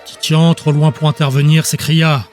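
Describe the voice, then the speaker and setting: A man's voice: a little high, little pitch movement, moderate pace, darkish tone. A man reading dramatic scripted narration as an audiobook narrator. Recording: music behind the voice.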